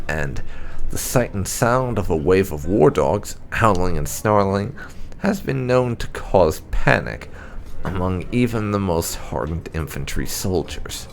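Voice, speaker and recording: A man's voice: low-pitched, creaky. A man reading game lore entries aloud as scripted narration. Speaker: gaming channel host.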